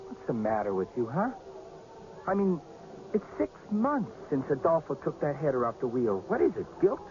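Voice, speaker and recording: High-pitched, exasperated carnival hustler. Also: male voice, sing-song, normal speed, a little mellow, a little hiss or hum. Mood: exasperated, worried. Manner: blunt, nagging, agitated. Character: exasperated, skeptical small-time carnival hustler. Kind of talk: 1980s radio drama acting. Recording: muffled old radio broadcast.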